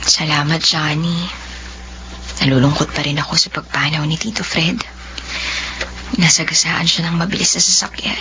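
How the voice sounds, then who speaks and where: A woman playing Nanette, a young woman who is hurt and grieving, going from tearful accusation to soft, whispery tenderness. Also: low voice, fairly even intonation, normal speed, pauses often, background noise. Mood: soft, tender. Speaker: lead character. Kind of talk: dubbed melodrama acting. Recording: dull lo-fi sound with faint music.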